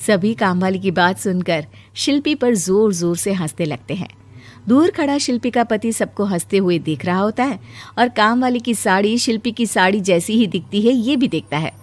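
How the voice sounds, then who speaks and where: A woman's voice: mid-range voice, lively intonation, moderate pace, darkish tone, soft background music. A woman reading scripted narration as the storyteller of a moral tale.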